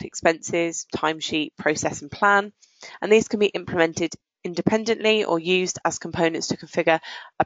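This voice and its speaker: A woman presenting a software product demo in a prepared, explanatory style, recorded as a voice-over. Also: fairly low pitch, dry recording. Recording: dull, muffled, lo-fi audio.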